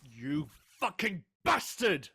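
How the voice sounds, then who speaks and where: Audio drama casting: a stubborn, protective brother under arrest, hostile and terse, with clear background noise on the recording. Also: male voice, sits high, very animated, slow, exaggerated voice. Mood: angry, protective. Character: defiant, protective working-class brother, sullen murder suspect.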